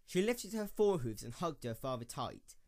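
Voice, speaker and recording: A man's voice: on the high side, very animated, normal speed, darkish tone. A man narrating a scripted dramatic story as the narrator of an audio drama.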